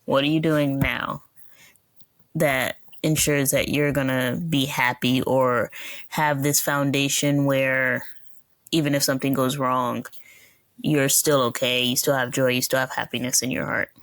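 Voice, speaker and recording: A woman in her twenties hosting a podcast, chatting in a relaxed, agreeable way and asking probing questions. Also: very deep voice, a little slow, dry recording. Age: 20s. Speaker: podcast host. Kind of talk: casual interview chat.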